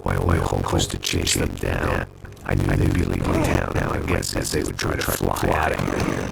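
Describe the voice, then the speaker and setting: A gravelly world-weary ex-cop. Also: male voice, moderate pace, heavily acted, rough recording. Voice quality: very deep gravelly voice. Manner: dry, sardonic, world-weary. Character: weary hard-bitten middle-aged male ex-cop narrator. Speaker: narrator and lead character. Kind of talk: noir voice-over narration. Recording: game cutscene with loud music.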